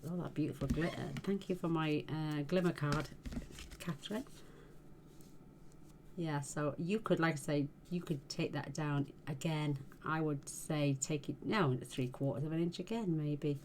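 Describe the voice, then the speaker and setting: A woman hosting a casual card-making tutorial, chatting in a homely way as she works, her voice a little croaky. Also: low voice, unhurried, darkish tone, a little hiss or hum. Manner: chatty, homely, talks while working. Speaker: card-making channel host.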